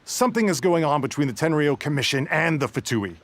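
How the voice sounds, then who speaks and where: A man's voice: a little high, animated, fast, no room sound. An actor playing a dutiful, suspicious guard in a video game, deferential and earnest toward his leader. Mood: concerned, respectful. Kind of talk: scripted video game dialogue.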